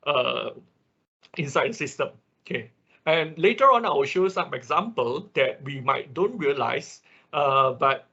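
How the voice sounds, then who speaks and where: Husky male online instructor, dull audio. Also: mid-range voice, a bit bright. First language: not English. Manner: halting, repetitive explaining with frequent check words. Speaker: instructor of an online robotics class. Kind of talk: informal explanatory lecture. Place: online class call.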